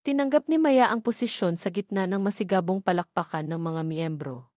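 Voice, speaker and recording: A woman's voice: fairly low pitch, a little fast, a little mellow, dry recording, studio quality. A woman with a Filipino accent reads a scripted love story as an audio drama narrator.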